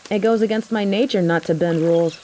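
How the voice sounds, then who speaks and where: A woman performs a devoted biker girl in an audio drama. Her voice is mellow and dark at a medium pitch, and her delivery is teasing and wry, full of sarcastic snark. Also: fairly quick, close to an everyday voice, poor audio. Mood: resolute. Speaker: voice actor in an audio roleplay. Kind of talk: scripted audio drama acting.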